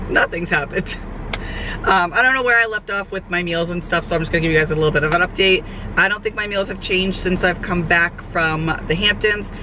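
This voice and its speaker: A young woman, a fitness trainer, chats casually in a vlog update, her voice hoarse and nasal from a cold. She rambles with lots of fillers. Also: lowish voice. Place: sitting outdoors, traffic nearby. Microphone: camera mic. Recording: outdoor camera audio with traffic noise.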